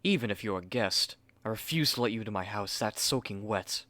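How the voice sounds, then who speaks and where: A man's voice: on the low side, lively intonation, brisk, bright, forceful tone, no echo. A man playing a haughty, fussy young manga artist in an ASMR roleplay, speaking curtly and articulately to the listener on a close mic.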